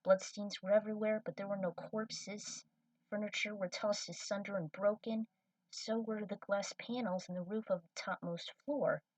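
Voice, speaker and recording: A woman's voice: medium pitch, fairly even intonation, moderate pace, a little mellow, dry recording, distant mic, rough recording. A woman reading scripted story narration as the narrator.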